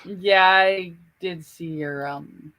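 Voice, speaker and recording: A woman's voice: fairly low pitch, takes it slowly, sharp tone, no echo. A woman chatting casually as a co-host on a stream. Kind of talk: casual chat.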